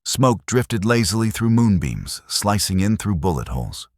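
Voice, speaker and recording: A man's voice: on the low side, big pitch swings, normal speed, no echo, clean studio sound. A man narrating a dramatic Western tale as a scripted storyteller.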